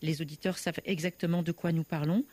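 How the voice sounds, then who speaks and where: A woman radio host leads a call-in interview, putting careful, probing questions to her guest. Her delivery is gentle and measured, and the sound is dry and close, with no echo. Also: deep voice, fairly even intonation.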